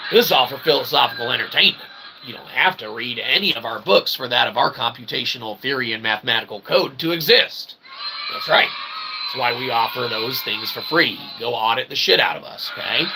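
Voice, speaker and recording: A man hosting a livestream, giving a rambling philosophical monologue in wordy, convoluted phrasing with frequent tag questions. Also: medium pitch. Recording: clear background noise.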